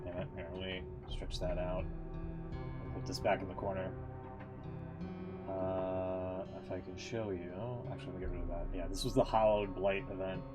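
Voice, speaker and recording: Low-voiced man streaming, guitar music behind. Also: flat delivery, moderate pace. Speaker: live game streamer. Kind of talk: casual stream commentary.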